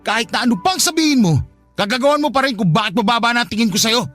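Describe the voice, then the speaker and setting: A man's voice: extremely high pitch, expressive, quick pace, fairly bright tone, faint music behind. A man playing a jealous, scornful live-in partner in a heated radio drama, sarcastic and accusing. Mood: contemptuous, irritated.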